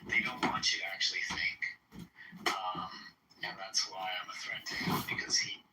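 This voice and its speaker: A man talking casually about politics in an online voice chat, with an earnest, halting way of speaking. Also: sits low, completely flat delivery. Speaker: online discussion room speaker. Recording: distant, roomy online audio, faint noise.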